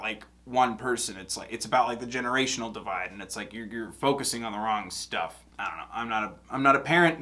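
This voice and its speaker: A man's voice: medium pitch, bright, forceful tone. A young man with a congested nose, musing casually in a reaction video and rambling with many fillers and restarts. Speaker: music reaction host.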